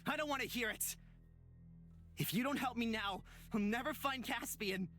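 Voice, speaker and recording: A woman's voice: fairly high pitch, fairly bright tone, natural voice. Earnest young heroine voiced by a woman. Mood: desperate, pleading. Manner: earnest, dramatic, deliberate phrasing. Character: earnest young protagonist pleading with a possessed friend. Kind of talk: visual novel voice acting. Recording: music behind the voice.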